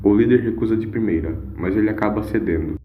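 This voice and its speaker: Male narrator, Brazilian accent, lowish voice, dramatic scripted storytelling, echoing over music. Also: quick pace, narrow, tinny audio, slight background noise.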